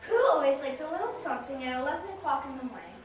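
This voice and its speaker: High-voiced girl narrator, very echoey. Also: expressive, racing. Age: child, about ten or eleven. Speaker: narrator in readers theater. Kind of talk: scripted storybook narration. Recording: distant echoey room, noisy recording.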